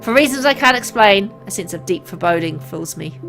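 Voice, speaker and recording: A woman's voice: mid-range voice, lively intonation, a little fast, vocal fry, a bit bright, soft background music. A woman reading dramatic first-person visual novel narration aloud in a brooding, theatrical way.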